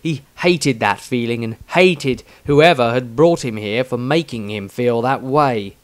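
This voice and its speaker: A man narrates a fantasy tale in a medium-pitched voice. He takes his time, placing phrases deliberately for suspense and drama. The recording is close and dry, with very little room sound. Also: dry recording. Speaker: author reading own story.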